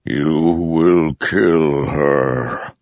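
A man voicing an ancient male storm god in an audiobook, deep, slow and mournful, with a roaring grave tone. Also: darkish tone, no echo, highs cut off, exaggerated voice.

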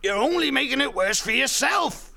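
A man voicing a stern male sea otter who speaks slowly and menacingly. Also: very high voice, expressive, gravelly, sharp tone, pitched above the performer's own voice, heavily acted. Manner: slow and menacing.

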